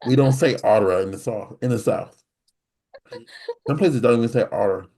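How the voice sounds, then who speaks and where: A man with a husky, breathy voice co-hosting a casual podcast. He speaks in a low register and rambles, stumbling and restarting his sentences as he goes. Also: moderate pace, mellow. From American Midwest.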